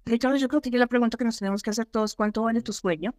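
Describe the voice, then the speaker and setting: A woman's voice: a little high, fairly even intonation, racing, darkish tone, dry recording, clean studio sound. A middle-aged woman, an immigration consultant co-hosting a talk show, explaining her points emphatically and often asking rhetorical questions. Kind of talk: advisory talk show chat.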